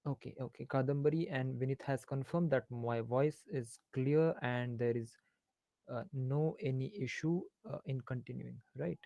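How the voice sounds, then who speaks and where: A man's voice: mid-range voice, moderate pace, gravelly, warm tone, no echo, muffled recording. Indian man teaching an online maths class. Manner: hesitant, many fillers, switching into Hindi mid-sentence. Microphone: faulty headphones on an online call, voice low.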